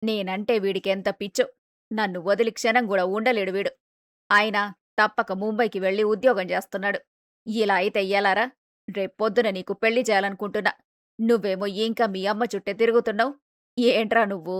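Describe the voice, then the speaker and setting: A woman's voice: mid-range voice, steady tone, fairly quick, dry recording, clean studio sound, close to an everyday voice. A woman playing a doting, boastful middle-aged mother who scolds in colloquial exclamations, in a dramatised moral story. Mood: proud, fond.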